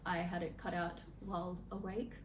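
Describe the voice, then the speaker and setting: A woman with a lowish voice, talking frankly and easily as an interview guest. The room sounds echoey, with a faint hiss underneath. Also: little pitch movement, moderate pace. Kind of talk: casual chat. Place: indoor room during a makeup session.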